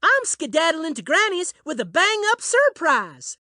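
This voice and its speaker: A woman's voice: very high-pitched, sing-song, measured pace, sharp tone, no echo, studio quality, heavily acted. A woman voicing a plucky little cowgirl granddaughter in a children's cartoon, folksy and exuberant with an American Western accent. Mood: cheerful. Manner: folksy, exuberant cowboy twang. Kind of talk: children's cartoon fairy tale acting.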